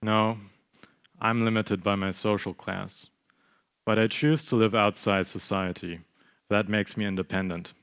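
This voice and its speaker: A man's voice: on the low side, level tone, measured pace, a bit bright, clear, resonant tone. A young man reading the part of a detached, sceptical Lebanese outsider in a staged play reading. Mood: self-reliant. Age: young adult.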